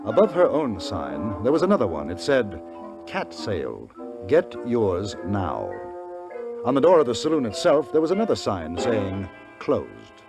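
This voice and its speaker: An actor playing a genial, wry gentleman in a radio western, courteous and polished. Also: on the low side, huge pitch swings, unhurried, creaky, heavily acted. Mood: wry narration. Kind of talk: 1950s radio drama narration and dialogue. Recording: old radio recording with music.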